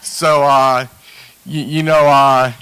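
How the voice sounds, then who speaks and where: Bright-voiced man telling his recovery story. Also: mid-range voice, little pitch movement, takes it slowly, smooth, dry recording, poor audio. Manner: hesitant, many restarts and fillers. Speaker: recovery panel speaker. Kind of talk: candid personal testimony.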